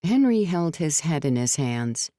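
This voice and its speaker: A woman reading scripted narration for a novel in a measured storytelling manner. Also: low voice, big pitch swings, dark tone, no room sound, clean studio sound. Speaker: audiobook narrator.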